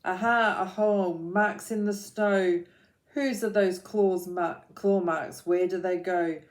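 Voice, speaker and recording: Woman doing a young monster girl, eager, curious, brave, storybook voice, slow reading pace. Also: fairly low pitch, warm tone. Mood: excited. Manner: eager, questioning.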